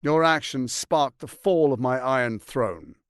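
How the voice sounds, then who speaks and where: A man's voice: medium pitch, measured pace, clean tone, no echo, clean studio sound, close to an everyday voice. A man voicing a suave, scheming, arrogant archduke in a fantasy video game, silky, grandiose and condescending in his theatrical delivery.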